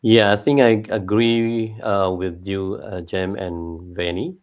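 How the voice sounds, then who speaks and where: A man from Indonesia, a guest on a live panel, speaking politely and in a measured way. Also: low voice, flat delivery. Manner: polite, measured, a few "you know"s. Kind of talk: casual panel chat.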